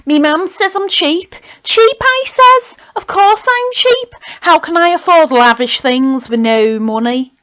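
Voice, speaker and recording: A woman playing an indignant, mouthy working-class youngster ranting at parents in a dramatic monologue, loud and defiant. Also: very high voice, expressive, slow pace, few pauses, pitched above the performer's own voice, heavily acted. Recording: dull lo-fi home recording.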